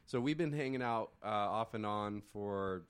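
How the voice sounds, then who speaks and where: Deep-voiced playful man, podcast co-host. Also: normal speed, clear, resonant tone, no room sound. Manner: playful, laughs often. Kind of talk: casual chat.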